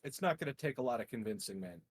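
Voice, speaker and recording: A man with a medium-pitched voice plays in a tabletop roleplaying session. His talk is casual, halting and laid-back, and the sound is very dry with no room echo. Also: expressive, slightly rough. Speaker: tabletop role-playing player. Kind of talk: casual chat.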